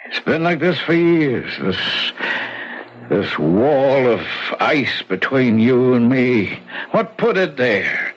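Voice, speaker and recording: A man's voice: medium pitch, sing-song, takes it slowly. An actor playing a bitter, wounded older father of grown daughters in a 1970s radio drama. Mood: wounded, pleading. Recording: muffled 1970s radio recording.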